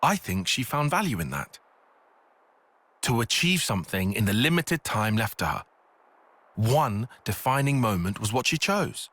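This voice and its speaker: Analytical male soldier, fantasy game drama, raspy, lively intonation, deliberate. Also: fairly low pitch, no echo, exaggerated voice. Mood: solemn. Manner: deliberate, reflective. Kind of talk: dramatic game cutscene acting.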